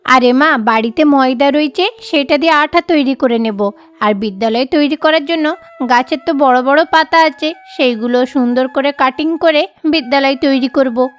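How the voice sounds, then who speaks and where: A woman's voice: high-pitched, moderate pace, natural voice. A woman voicing a kind, resourceful mother bird with a reassuring manner in a cartoon.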